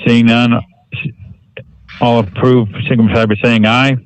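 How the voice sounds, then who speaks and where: A man chairing a county board meeting in formal procedural language, with a somewhat halting delivery. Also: medium pitch, flat delivery, clean tone, poor audio.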